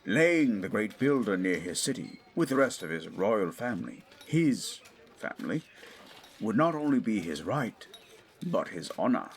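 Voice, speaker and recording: An actor playing a learned, eager 1920s English archaeologist and father in an audio drama, middle-aged, speaking in a formal, professorial, deliberate way. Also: fairly low pitch, big pitch swings, a little hiss or hum. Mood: fervent, proud. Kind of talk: scripted audio drama acting.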